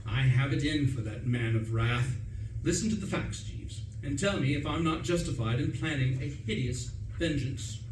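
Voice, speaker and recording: A man playing a chatty, pompous young English gentleman who narrates a comic radio play in a breezy, wordy way. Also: medium pitch, normal speed, close to an everyday voice. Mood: indignant. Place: live stage performance in a room. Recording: roomy, distant mic, faint noise.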